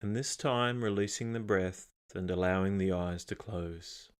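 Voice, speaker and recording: Male meditation guide, low pitch, calm and measured delivery, unhurried phrasing with room to pause. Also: smooth.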